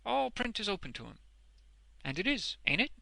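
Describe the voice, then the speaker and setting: A man's voice: high voice, very animated, normal speed, creaky, sharp tone, no room sound, voice pushed up, heavily acted. A man voicing a hearty, uneducated old working man in a storybook, blunt and exuberant, with emphatic, ungrammatical speech. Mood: admiring.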